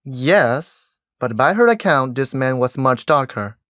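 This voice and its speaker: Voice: medium pitch, lively intonation, measured pace, a bit bright, dry recording, clean studio sound. An actor playing a lofty, commanding fairy monarch who tells tales in a theatrical stage-verse manner. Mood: conversational, amused. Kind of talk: Shakespearean verse stage acting.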